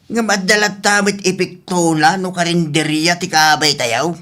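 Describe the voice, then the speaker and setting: A woman's voice: on the low side, fairly even intonation, normal speed, fairly bright tone, close to an everyday voice. A woman voicing a grumpy, envious older uncle in a radio drama, complaining in a grumbling way. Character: grumpy, envious older uncle fuming about business rivals.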